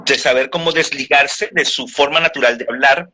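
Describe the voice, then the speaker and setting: A man with a bright, forceful voice and a slightly husky edge talks through the craft of dubbing in a relaxed interview. He thinks aloud, restarting his phrases as he builds an explanation. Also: medium pitch, normal speed. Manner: reflective, explains at length with restarts. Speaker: voice actor and dubbing teacher. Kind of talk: casual interview talk.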